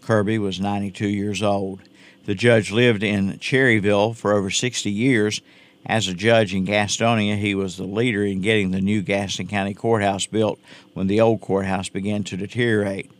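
A man's voice: lowish voice, steady tone, moderate pace. A man delivering scripted local news as a radio reporter.

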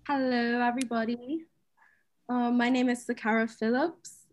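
A woman's voice: fairly high pitch, steady tone, moderate pace. A woman of twenty-one, introducing herself lightly on a podcast. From Bermuda. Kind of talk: casual podcast chat.

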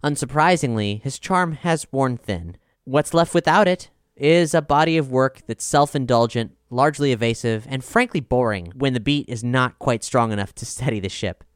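Male review reader, scripted narration, clean resonant delivery, mid-range pitch, dry studio-style sound. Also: normal speed, few pauses, smooth, no echo.

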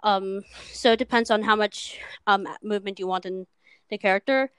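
A woman's voice: mid-range voice, moderate pace, a bit bright, clean tone, dry recording. A seventeen-year-old girl from Minnesota chatting as a guest about her animation work, on a cheap microphone, with lots of ums. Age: teens. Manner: chatty, filler-heavy, self-deprecating. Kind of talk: casual chat.

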